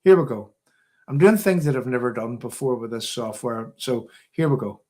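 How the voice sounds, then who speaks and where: Male political stream host, Northern Irish, mid-pitched voice, emphatic and rambling delivery. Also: expressive, moderate pace, a little mellow. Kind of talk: live political commentary to viewers.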